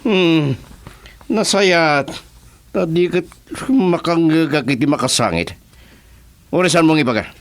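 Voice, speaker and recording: Domineering Ilocano crime boss, slow, swinging pitch. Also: male voice, expressive. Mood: stern, demanding. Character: gruff male crime boss giving orders on the phone. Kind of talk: Ilocano radio drama acting.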